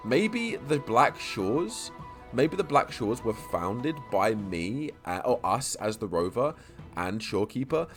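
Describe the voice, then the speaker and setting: A man with a low voice talks casually through his theories for a video, tripping over words and stuttering as he rambles along quickly. He restarts phrases and repeats himself as thoughts pile up. Faint music plays underneath. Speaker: YouTube lore creator. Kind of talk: casual game-lore vlog commentary.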